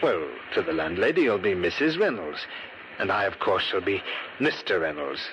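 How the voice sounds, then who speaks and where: Deep-voiced, smooth deceitful young husband. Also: male voice, big pitch swings, normal speed, highs cut off. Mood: sly. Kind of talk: old radio drama acting. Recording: noisy old radio recording.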